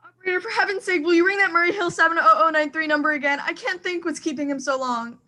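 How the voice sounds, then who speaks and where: Woman acting a bedridden invalid wife, very high voice, imperious, anxious, complaining, radio thriller. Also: fast, pushed and bright. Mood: frantic, impatient. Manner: insistent, agitated, complaining. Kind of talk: suspense radio play acting.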